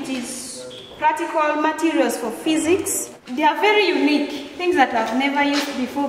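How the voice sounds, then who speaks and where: A young woman from South Sudan with a South Sudanese accent, presenting a school tour in earnest, halting phrases on noisy location audio. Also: sits high. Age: young adult. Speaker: student tour guide. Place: school grounds and rooms, outdoors and indoors.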